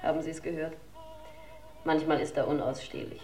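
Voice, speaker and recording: A woman's voice: deep voice, little pitch movement, brisk, mellow, lightly acted. An actress playing a harried, firm young widowed mother in a radio crime drama, polite but guarded. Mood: weary. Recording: roomy radio drama recording, faint music.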